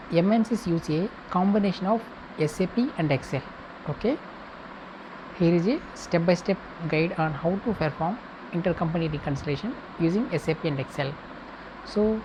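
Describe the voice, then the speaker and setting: A woman with a low voice teaches in a step-by-step tutorial style. She speaks in short, halting segments and often restates her phrases. The recording has clear background noise and hum. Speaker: accounting tutor. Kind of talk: step-by-step tutorial, reading points and explaining.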